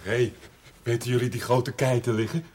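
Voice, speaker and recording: An actor playing a childlike, lovestruck village simpleton in a television drama, speaking in halting, naive, blunt phrases. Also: male voice, mid-range voice, flat delivery, a little mellow, a little hiss or hum, lightly acted. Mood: dreamy. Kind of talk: 1970s Dutch television drama acting.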